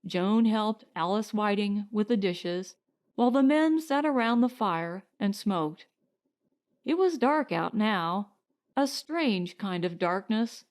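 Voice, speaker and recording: A woman narrating a short story as an audiobook, in a measured, deliberate storytelling manner. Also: on the high side, a little mellow.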